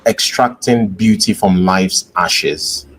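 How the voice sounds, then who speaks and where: A man's voice: sits low, slow, a bit bright, slight background noise, rough recording. A man with a Nigerian accent hosting an interview in a polite, affirming, conversational way, often doubling his phrases. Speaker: interview host.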